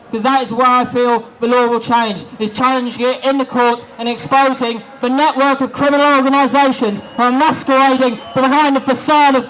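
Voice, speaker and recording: A man's voice: very high-pitched, fairly bright tone, rough recording. A man giving an impassioned, declamatory activist speech outdoors, stressing his phrases emphatically. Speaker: activist speaker at a rally. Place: large open-air city square rally. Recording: outdoor public address with background noise.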